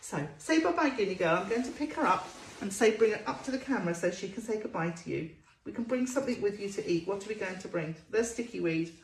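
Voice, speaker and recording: Female children's storyteller, very lively sing-song delivery, drawn-out key words, roomy echoing recording. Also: medium pitch. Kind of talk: storytelling to young children with questions and phonics.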